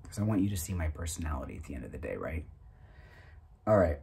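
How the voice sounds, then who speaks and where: A man in his thirties hosting his own channel, talking casually and a little self-consciously in a halting, informal way. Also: a bass voice, sing-song, gravelly, dark tone, breathy edge. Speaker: host of a reading channel. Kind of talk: casual, unscripted chat to camera.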